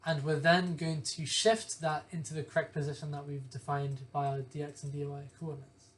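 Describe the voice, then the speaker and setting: A young man with a hoarse voice from a lingering cough, methodically explaining code step by step and often correcting himself. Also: on the high side, little pitch movement, moderate pace. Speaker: programming tutor. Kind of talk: screen-share coding walkthrough.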